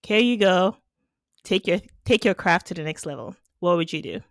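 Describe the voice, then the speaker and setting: A woman whose first language is Yoruba speaks as an interview guest, her voice sitting a little low. She talks thoughtfully and laughs easily. The sound is dry and close, with no room echo. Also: animated, moderate pace. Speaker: podcast guest, economist. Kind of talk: casual interview chat.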